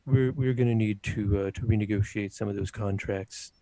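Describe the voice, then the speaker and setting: A man's voice: lowish voice, fairly even intonation, normal speed, dark tone, natural voice. A man voicing a polite, mercenary scholarly doctor in a tabletop game, formal and hesitant in manner. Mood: polite, threatening.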